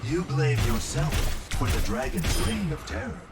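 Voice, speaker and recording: A serene, wise monk. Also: male voice, a little high, big pitch swings, over loud music. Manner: serene, measured. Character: calm, wise male monk.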